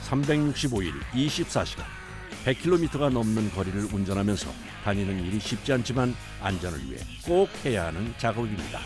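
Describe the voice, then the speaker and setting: A male documentary narrator reads his script in a calm, informative way. His voice moves up and down a lot as he explains things. Music plays clearly behind him. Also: fairly low pitch, a little fast. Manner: calm, even informative delivery.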